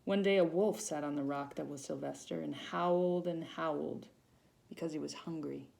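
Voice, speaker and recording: A woman with a fairly low, noticeably creaky voice reads a children's picture book aloud. She tells it expressively, leaning on the feelings in the story as a volunteer reader would for young listeners. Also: steady tone, moderate pace, a little mellow. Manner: dramatic emphasis on feelings, with short asides to young listeners.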